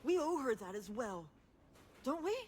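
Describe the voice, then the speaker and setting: A woman's voice: a little high, big pitch swings, normal speed, a little mellow, husky. An actress playing a headstrong, combative and loyal adult woman, speaking bluntly and insistently in a naturalistic video game drama. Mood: urgent, insistent.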